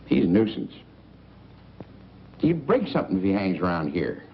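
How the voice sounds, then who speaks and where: An actor playing a gruff, grumbling, folksy small-town newspaperman in 1940s film style, on a muffled old soundtrack. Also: low-pitched, sing-song, normal speed, slight background noise, full character voice. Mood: grumbling, friendly.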